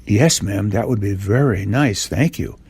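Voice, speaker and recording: A man's voice: lowish voice, unhurried, gravelly, darkish tone, husky, heavily acted. Older man reading as very polite little boy. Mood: polite, grateful. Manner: polite, earnest. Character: polite, well-behaved little storybook boy.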